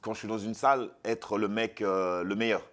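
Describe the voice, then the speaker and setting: A man from Congo, a fighter and actor, telling his story with confident, animated energy in an interview. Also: on the low side, normal speed. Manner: confident, animated, self-assured storytelling with frequent repeats. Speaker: MMA fighter and actor, guest.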